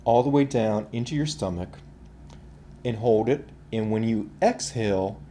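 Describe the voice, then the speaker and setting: Man, low voice, relaxed conversational voice coach. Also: measured pace, slight background noise. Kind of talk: instructional talk.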